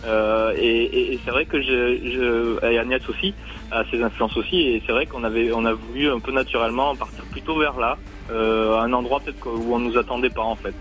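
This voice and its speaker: A man, an electronic musician guest on a radio show, talking haltingly with many fillers. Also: medium pitch, steady tone, a bit bright, soft background music, rough recording. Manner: halting, full of fillers and restarts. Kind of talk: radio interview conversation.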